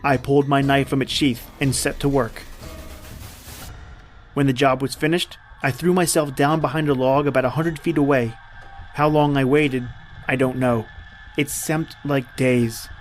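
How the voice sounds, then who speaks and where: Radio play lead, male actor as a determined man on the run; mid-range voice, tense storytelling, soft music underneath. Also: mid-range voice, steady tone, measured pace, close to an everyday voice. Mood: tense, grave. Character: hunted man narrating his own ordeal, tense and determined. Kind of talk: dramatic first-person narration in old-time radio style. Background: background music.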